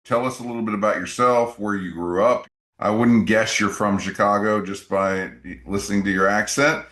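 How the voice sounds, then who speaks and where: Low-voiced male host in an echoey room. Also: fairly even intonation, normal speed, fairly bright tone. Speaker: radio show host. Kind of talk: interview hosting. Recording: roomy echo.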